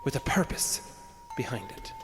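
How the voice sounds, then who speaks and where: An actor playing an agitated, arrogant man with violent urges, narrating his journal in a deliberate, formal way for an audio drama. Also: close to an everyday voice. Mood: scheming. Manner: pompous, deliberate, brooding, formal phrasing. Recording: long reverb, music underneath.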